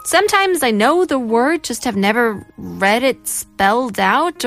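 A woman's voice: sits high, a bit bright. A woman hosting a radio segment, reading an essay and then chatting with her co-host, laughing easily. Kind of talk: scripted reading then casual chat.

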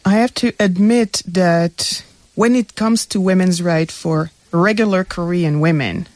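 A woman's voice: on the low side, unhurried, a little mellow, dry recording. A young woman from Quebec, a graduate student guest, answering earnestly on a radio talk show while searching for words. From Quebec, Canada.

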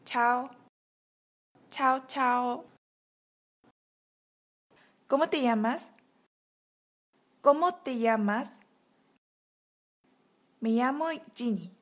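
A woman with a somewhat high voice leads a language drill. She says each phrase slowly and clearly so that it can be repeated back to her. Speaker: Spanish teacher.

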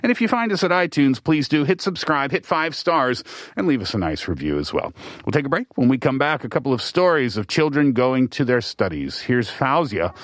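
A man's voice: mid-range voice, sing-song, fairly quick, no room sound. A man from the East Coast of Canada hosting a radio show from a studio, explaining steadily with the odd filler. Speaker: radio host. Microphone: studio mic.

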